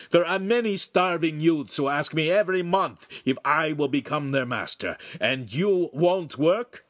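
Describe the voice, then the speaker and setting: A man voicing a big, hot-tempered, tyrannical master in an audiobook, domineering and commanding with drawn-out emphasis. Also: fairly high pitch, dry recording, clean studio sound, voice pushed up.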